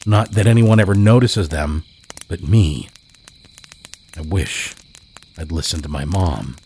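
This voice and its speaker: Deep-voiced man narrating a horror tale. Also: darkish tone, a little hiss or hum. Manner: measured, deliberate storytelling. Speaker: podcast host and story narrator. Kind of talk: scripted horror story narration.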